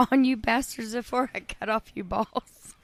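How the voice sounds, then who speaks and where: A young woman with a Southern accent, hoarse and losing her voice, reading a bawdy poem and laughing through it. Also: a little high, unhurried. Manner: giggly, brash, laughs mid-line. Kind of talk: crude casual banter and bawdy poem reading.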